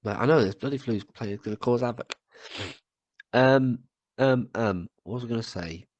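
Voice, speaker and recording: A man's voice: medium pitch, normal speed, darkish tone, no echo, dull audio. A man with a cold, co-hosting a podcast in casual chat, swearing and tripping over his words. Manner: rambling, swears, stumbles and repeats words. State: has a cold. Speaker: podcast co-host.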